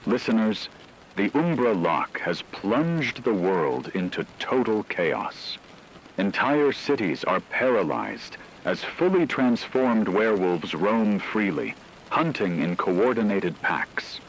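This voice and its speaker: A man reading a scripted emergency radio broadcast in a solemn, ominous, measured way. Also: on the low side, animated, a little hiss or hum, low-quality recording. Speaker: radio announcer.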